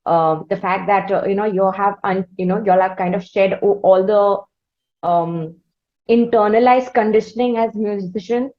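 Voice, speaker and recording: Lady hosting an interview, picks her words slowly and wordily, kinda dull lofi audio. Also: mid-range voice. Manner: deliberate, wordy, halting phrasing. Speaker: interviewer, podcast host. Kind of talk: conversational interview.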